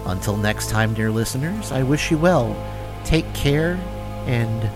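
Male horror host over loud music. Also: mid-range voice, lively intonation, measured pace. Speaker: horror anthology podcast host. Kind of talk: scripted radio-host sign-off. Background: loud incidental music.

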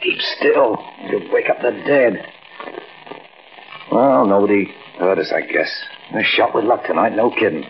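An actor with an American accent playing a brash, impatient gangster in a 1940s radio drama, bossy, slangy and dismissive. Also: male voice, lowish voice, measured pace, gravelly. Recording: dull, hissy old radio broadcast.

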